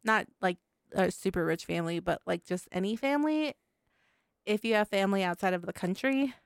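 Woman co-host, casual podcast banter, slight fry in the voice, dry room. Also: medium pitch, fairly quick, dry recording. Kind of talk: casual chat.

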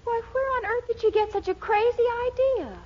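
Very high-voiced young American sweetheart. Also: female voice, a little fast. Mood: bright, curious. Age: young adult. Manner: emphatic, urging. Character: spirited, pushy young sweetheart, devoted and impatient. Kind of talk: 1940s radio comedy acting. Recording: dull, faintly noisy 1940s radio recording.

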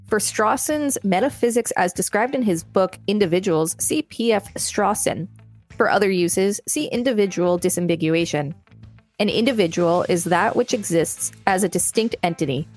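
A woman co-hosting a podcast, reading an article aloud in a measured way with light commentary. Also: mid-range voice, expressive, soft background music.

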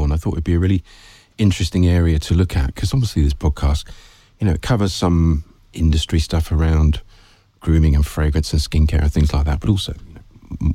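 A man's voice: deep voice, warm tone, no room sound. A man hosting an interview podcast, asking friendly questions with lots of fillers. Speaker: podcast host. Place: guest's office.

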